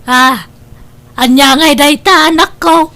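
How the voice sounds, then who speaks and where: Money-minded family matriarch for an audio drama, high voice, calculating played by a woman. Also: slow, background noise. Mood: indignant. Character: scheming, money-minded mother of grown children. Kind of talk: Ilocano radio-style family drama acting.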